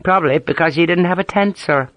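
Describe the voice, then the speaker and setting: Casting note: Ulster sergeant voiced by a man, deadpan wit, pitched up high, close dry read. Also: fast talker, no room sound, close to an everyday voice. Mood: amused. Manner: deadpan, dryly amused. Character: wry Irish army sergeant, deadpan joker.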